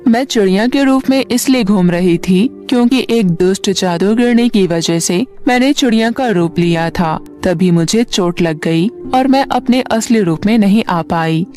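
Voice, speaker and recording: A woman voicing a grateful, generous fairy with a gentle, gracious manner in a storybook tale. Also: mid-range voice, unhurried, few pauses, close to an everyday voice. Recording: music bed under the voice.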